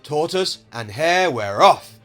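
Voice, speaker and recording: A man reading a children's story aloud as host and storyteller, in an expressive, explanatory way. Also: on the high side, slow pace, faint music behind.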